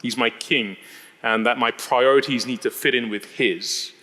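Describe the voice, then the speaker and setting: A man from Singapore telling his personal story as an interview guest, haltingly, repeating words and phrases. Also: medium pitch, steady tone, bright, forceful tone. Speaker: interview guest giving testimony. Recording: reverberant hall.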